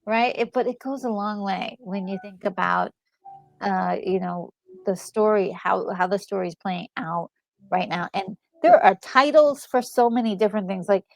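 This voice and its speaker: A woman co-hosting a gaming discussion, talking casually and enthusiastically in a halting, emphatic way over music. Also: mid-range voice, gravelly, dry recording, poor audio. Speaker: gaming channel co-host. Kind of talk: casual commentary on a game story. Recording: music playing behind a close, dry voice.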